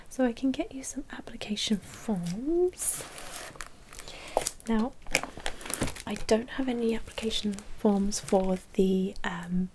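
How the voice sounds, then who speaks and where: A woman whispering an ASMR roleplay as a polite, businesslike vampire bank manager, explaining things softly and matter-of-factly with small hesitations. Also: mid-range voice, slight background noise, low-quality recording.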